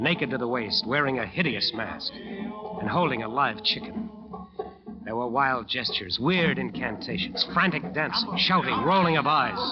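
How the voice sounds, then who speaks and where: A man's voice: medium pitch, natural voice. An actor playing a driven, ambitious musician who narrates his nightmare dramatically in an old radio drama. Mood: horrified fascination. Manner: dramatic, intense storytelling. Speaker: narrator and lead character. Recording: dull old radio recording, music behind.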